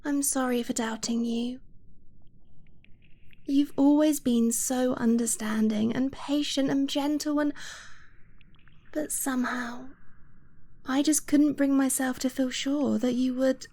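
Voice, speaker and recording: A woman voicing an affectionate young dragon-blooded girlfriend in an ASMR romance roleplay, soft and breathy, often near a whisper, tender and confiding. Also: sits high, fairly even intonation, full character voice. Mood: tender, shy. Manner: tender, unhurried, giggly, confiding.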